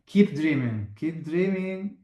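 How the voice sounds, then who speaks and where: A man from Italy with an Italian accent talks slowly and haltingly, with many pauses and restarts as he hunts for English words. His voice sits a little high for a man. The sound is roomy, with a noticeable echo around him. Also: expressive, dark tone. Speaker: guest musician. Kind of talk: casual interview chat.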